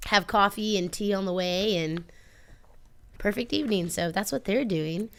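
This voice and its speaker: A woman's voice: mid-range voice, moderate pace, a bit bright. A woman co-hosting a radio show, chatting and bantering casually. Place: radio studio.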